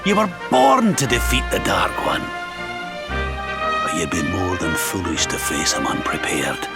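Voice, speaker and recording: An actor playing a kindly older adventurer, a wise mentor in a video game. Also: male voice, deep voice, huge pitch swings, unhurried, loud music behind the voice, full character voice. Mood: urging. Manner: coaxing, avuncular.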